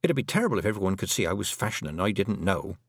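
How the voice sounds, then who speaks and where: A man's voice: deep voice, very animated, racing, slightly rough, no room sound, studio quality, full character voice. A man voicing a young girl in a storybook reading, earnest, anxious and insistent, with urgent questions. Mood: uncertain.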